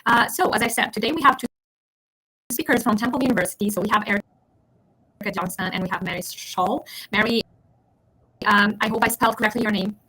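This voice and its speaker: Woman advisor hosting an online webinar, bright husky voice, lots of ums and restarts. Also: mid-range voice, a little fast, long pauses, low-quality recording. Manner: friendly, many fillers and restarts. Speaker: education advisor.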